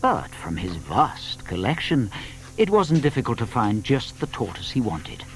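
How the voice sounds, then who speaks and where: A man narrating a children's story from a script, with deliberate emphasis. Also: medium pitch, wildly swooping pitch, a little hiss or hum.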